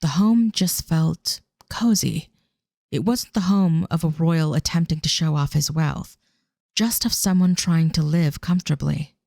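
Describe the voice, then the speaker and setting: A woman's voice: deep voice, a little slow, vocal fry, a little mellow, dry recording, clean studio sound. A woman narrating a scripted audio drama as a storyteller.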